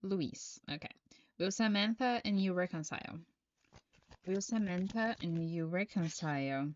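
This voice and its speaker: Woman, Brazilian accent, English as a second language, tarot reader on a live stream, slow and halting, dry close sound. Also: on the low side, no echo, lo-fi sound. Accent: Brazilian Portuguese. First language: Portuguese. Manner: unhurried and halting, reads questions aloud and chats with viewers. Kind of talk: live-stream chat, answering viewer questions.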